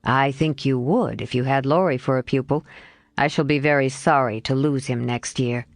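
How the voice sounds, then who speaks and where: A woman lowers her voice for a kind young male tutor in a storybook, gentle and a little wistful. The voice is noticeably deeper than her narration, with an unhurried, subdued delivery in a dry recording. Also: no room sound.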